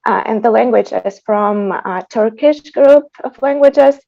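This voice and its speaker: A woman who is a native Tatar speaker, giving an informal, reflective introduction as a composer, with halting phrasing, over a video call. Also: on the high side, vocal fry, warm tone, highs cut off. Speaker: composer introducing her piece. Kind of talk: informal spoken introduction at an online concert.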